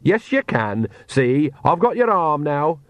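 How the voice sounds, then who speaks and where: A man voicing an easygoing old country witch woman who talks in a folksy, conversational, unhurried way. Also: fairly high pitch, sing-song, no echo, pitched above the performer's own voice. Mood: patient, coaxing. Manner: folksy, conversational, rustic grammar.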